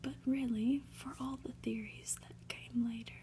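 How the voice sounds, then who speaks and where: A woman reading a story aloud for ASMR in a hushed, deliberate, breathy whisper. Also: fairly high pitch, steady tone, slight background noise. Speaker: ASMR reader.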